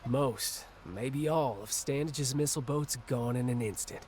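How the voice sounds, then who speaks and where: A composed tactician, played by a man, with a mid-pitched voice. He lays things out precisely and seriously, over faint ambient noise. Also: measured pace, a little mellow, lightly acted. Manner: precise, matter-of-fact. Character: composed, methodical male military strategist ally. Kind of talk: video game dramatic dialogue.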